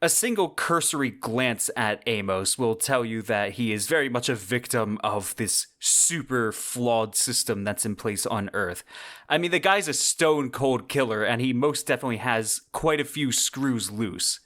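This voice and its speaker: A man's voice: medium pitch, animated, unhurried, a bit bright. Conversational male podcast host giving commentary. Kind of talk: semi-scripted book commentary monologue.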